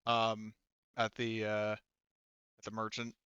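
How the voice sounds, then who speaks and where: A man's voice: lowish voice, monotone, takes it slowly, pushed and bright, smooth, dry recording, muffled recording. A man talking casually as game master of a tabletop game, swearing freely.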